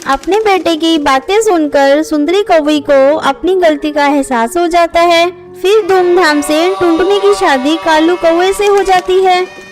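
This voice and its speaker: Very high-pitched woman storyteller. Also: a little slow, soft background music. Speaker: storyteller and narrator. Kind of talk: scripted children's story narration.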